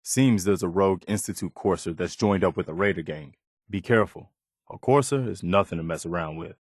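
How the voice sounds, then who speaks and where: An actor playing an earnest, loyal and idealistic militia officer in a video game, measured and plain-spoken. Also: male voice, low-pitched, slightly rough, no room sound, studio quality. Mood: concerned, serious. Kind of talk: video game character dialogue.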